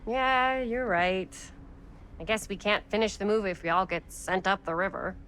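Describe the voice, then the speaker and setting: A woman's voice: mid-range voice, moderate pace, a bit bright, a little hiss or hum, lightly acted. A woman playing a bold, wry teenage girl in a video game, breezy and sarcastic in delivery. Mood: casual, curious. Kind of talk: naturalistic video game acting.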